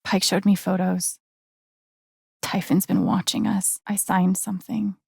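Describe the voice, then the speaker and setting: A woman's voice: mid-range voice, level tone, measured pace, gravelly, darkish tone, husky, no room sound, clean studio sound. A woman voicing an earnest young woman in a video game, heartfelt and hesitant, with raw emotion in a naturalistic dramatic style. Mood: grave, determined.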